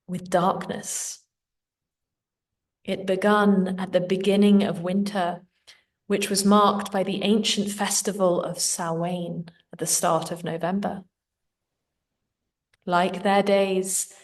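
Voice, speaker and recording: A woman guides a meditation at a very slow, unhurried pace. Her delivery is gentle and soothing, with a medium pitch. The voice sits some way back from the microphone. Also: distant mic.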